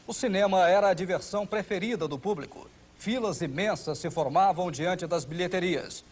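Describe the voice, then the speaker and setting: High-pitched Brazilian male narrator. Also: moderate pace. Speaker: documentary narrator. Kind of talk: scripted documentary narration. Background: background music.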